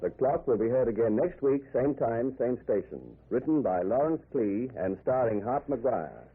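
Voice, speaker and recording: A man works as an old-time radio announcer, reading scripted credits. His mid-range voice has a husky grain. The recording is narrow and muffled, like an aged broadcast. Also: medium pitch, lively intonation, moderate pace.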